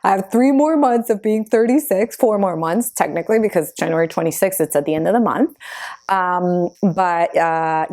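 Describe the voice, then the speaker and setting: A woman's voice: on the low side, a little mellow. A woman in her thirties from Argentina whose first language is Spanish, chatting casually as she answers her own get-to-know-me questions, with many fillers. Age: 30s. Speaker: YouTuber.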